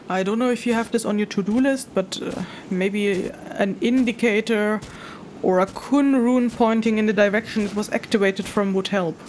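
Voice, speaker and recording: A woman's voice: mid-range voice, slight background noise, lightly acted. A woman playing a young spirit hunter who thinks aloud hesitantly, with dry teasing, in an audio drama field log.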